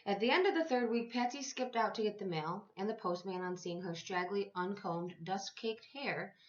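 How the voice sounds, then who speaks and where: A woman's voice: mid-range voice, normal speed. Woman reading a children's story, echoey room. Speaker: teacher reading aloud to children. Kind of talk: read-aloud storytelling for young children. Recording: echoey room, slightly dull sound.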